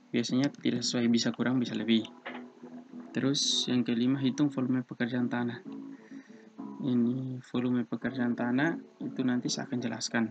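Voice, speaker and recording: A young man with an eastern Indonesian accent, walking students through an assignment in a relaxed, halting way with many fillers. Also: mid-range voice. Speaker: civil engineering student tutor. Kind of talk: screen-recorded tutorial walkthrough. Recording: dull lo-fi mic with guitar music behind.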